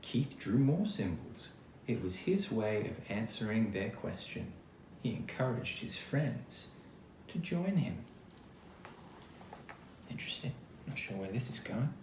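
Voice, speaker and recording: An Australian-accented man reading a picture book to children as an actor, expressive and chatty, speaking straight to his young listeners. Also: lowish voice, a little slow, long pauses. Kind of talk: children's storytime read-aloud with casual asides. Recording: roomy echo, clear background noise.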